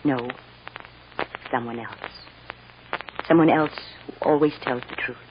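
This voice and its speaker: Radio drama mother, sorrowful and loving, hushed halting delivery, muffled vintage recording. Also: extremely low pitch, noisy background. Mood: tender, sorrowful. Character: tender, sorrowful mother comforting her small daughter. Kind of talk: 1940s radio drama acting.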